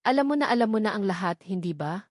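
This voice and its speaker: A woman's voice: mid-range voice, expressive, fast, no echo, studio quality, natural voice. A woman voicing a frightened, ashamed young woman who speaks in a trembling, hesitant way. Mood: fearful.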